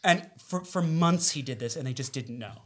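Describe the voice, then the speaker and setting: A man's voice: mid-range voice, expressive, moderate pace, a bit bright. A man telling a rambling, laughing story about his first job in casual podcast chat. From United States. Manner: rambling, laughing self-deprecation. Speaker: storyteller guest.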